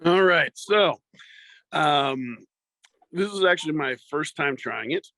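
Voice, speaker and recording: A man's voice: fairly high pitch, lively intonation, takes it slowly, sharp tone, dry recording, poor audio. A man narrating his own demonstration video, explaining each step plainly. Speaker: guest demonstrator.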